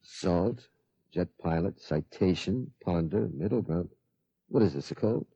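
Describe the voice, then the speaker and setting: Casting: a worried, doubtful middle-aged dad, husky and animated, hesitant, muffled vintage radio drama sound. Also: male voice, low-pitched, measured pace, dry recording, exaggerated voice. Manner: hesitant, flustered. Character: anxious, doubtful middle-aged American father. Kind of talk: 1950s radio drama acting. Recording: muffled, dry 1950s radio recording.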